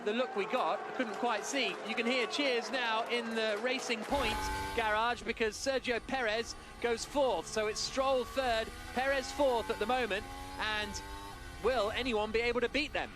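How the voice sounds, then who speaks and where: A man giving brisk, excited live motor racing commentary on radio. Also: high voice.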